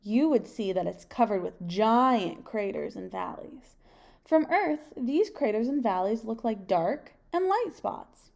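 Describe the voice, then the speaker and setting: Young woman, storytime teacher read-aloud, unhurried and slightly high-pitched, phrasing carefully for children. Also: lively intonation, creaky, a little mellow. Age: young adult. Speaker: teacher reading a picture book aloud. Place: home kitchen, indoors.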